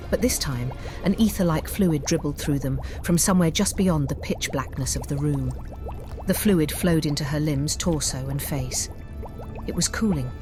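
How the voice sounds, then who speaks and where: A woman storyteller reading scripted fantasy narration in a measured, theatrical way. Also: low-pitched. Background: music bed.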